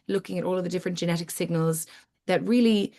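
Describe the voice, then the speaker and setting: Lower-pitched woman hosting a webinar. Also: quick pace, creaky, warm tone. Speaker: webinar host, academic scientist. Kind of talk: informal webinar presentation.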